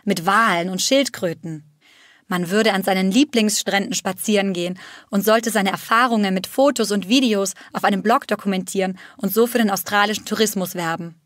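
A woman reading a first-person story aloud in steady, clear narration for language learners. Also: medium pitch, fairly quick, no room sound.

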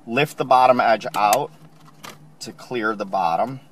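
A man calmly explaining, step by step, how to install a car part, recorded inside a car. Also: mid-range voice, a little slow, slight background noise. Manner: calm, plain instructional. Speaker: installation instructor.

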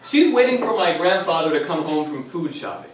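Young man, acting affectionate grandson, stage play, lively intonation, distant mic, roomy echo. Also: fairly high pitch, fairly quick, close to an everyday voice. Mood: wry, fond. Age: young adult. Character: affectionate, self-conscious grown grandson narrating family memories. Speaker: stage actor. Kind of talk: theatrical narration and dialogue before an audience. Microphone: far camera microphone. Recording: echoey theater, distant mic, faint noise.

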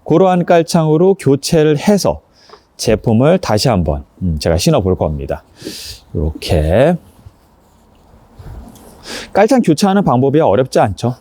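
A man's voice: medium pitch, huge pitch swings, a little slow, long pauses, mellow. A man presenting a casual hands-on review, explaining each step politely as he demonstrates.

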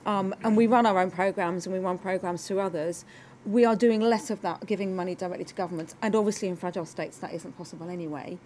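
A woman's voice: lowish voice, fast, a little mellow, slight background noise. A middle-aged British woman giving formal panel remarks as a government development official. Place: conference room, indoors.

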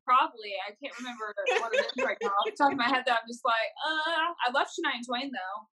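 American woman, about thirty, very bright voice, higher pitch, informal chatty podcast talk. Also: sing-song, fast, a little hiss or hum, rough recording. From United States. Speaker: podcast co-host, professional wrestler. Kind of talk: casual chat. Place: plain indoor room.

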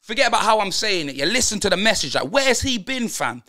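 A man's voice: high voice, sing-song, fast talker, pushed and bright, full character voice. A man doing a ranting London roadman fan. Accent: London roadman slang. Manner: ranting, slang-heavy, tags every line with blood and fam. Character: brash young male London roadman football fan. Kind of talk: comedic football rant.

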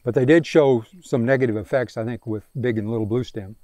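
A mid-pitched man with a mellow, relaxed tone answers questions from a crowd standing in a prairie pasture. He hedges and restarts often, speaking into a handheld microphone that keeps his voice close and dry. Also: fairly quick, no room sound. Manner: hesitant, many restarts and fillers. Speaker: range scientist answering questions. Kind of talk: outdoor field day Q&A. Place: open prairie pasture outdoors.

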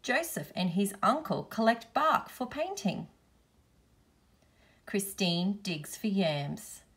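Female storybook reader, unhurried and deliberate, mid-range pitch, for young listeners. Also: animated, fairly bright tone, slightly rough. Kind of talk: children's picture book read-aloud.

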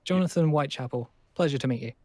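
A man's voice: medium pitch, fast talker, mellow, no room sound, natural voice. A man playing a nervous, friendly boy in an improvised game roleplay, stammering and repeating words in bursts. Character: nervous, eager, friendly lone boy living in abandoned school.

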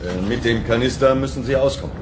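A man's voice: fast, lightly acted. An actor playing a concerned, practical man who shelters a fugitive in a German sci-fi audio drama, speaking earnestly. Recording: clear background noise, rumbling ambience.

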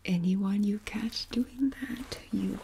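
A woman playing a wheedling, bossy vampire ghoul, whispering and cooing in a creaky voice in an ASMR roleplay. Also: mid-range voice, background noise. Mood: bossy, hushed. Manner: cooing, coaxing, drawn-out. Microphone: close microphone.

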